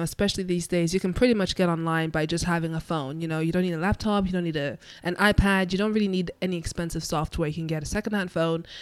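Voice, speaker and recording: Low-voiced woman podcaster with a cold. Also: clear, resonant tone, dry recording. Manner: conversational, explanatory, thinking aloud. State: nasal, congested voice from a cold. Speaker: podcast host. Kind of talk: solo podcast monologue.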